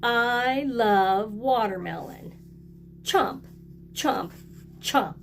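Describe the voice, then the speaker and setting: A woman voicing a melodramatic young crocodile from a storybook, with exaggerated, drawn-out delivery. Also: a little high, gravelly, faint music behind, full character voice. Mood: gleeful, relishing. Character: melodramatic young crocodile who adores watermelon.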